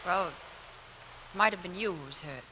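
A woman's voice: on the low side, moderate pace, sharp tone. A woman playing a stubborn, devoted young Navy nurse in a 1940s radio drama, earnest and insistent. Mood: brisk, earnest. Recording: noisy 1940s radio broadcast recording.

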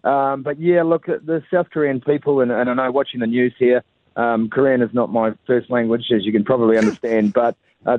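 A man's voice: mid-range voice, no echo. New man on a tinny phone line. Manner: conversational, frequent "uh" fillers. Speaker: reporter on the phone. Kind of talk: live phone cross to a radio show. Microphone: telephone.

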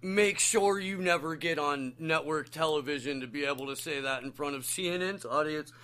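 A man's voice: fairly high pitch, moderate pace, sharp tone, smooth. A man interviewing a guest, casual and agreeable, swearing and hesitating with fillers. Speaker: podcast interviewer.

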